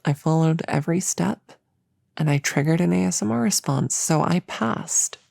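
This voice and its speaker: A woman playing a flustered, earnest young novice witch in an ASMR audio roleplay, soft-spoken and halting, slipping into whispers. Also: low voice, gravelly, no echo, clean studio sound, full character voice. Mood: defensive resolve.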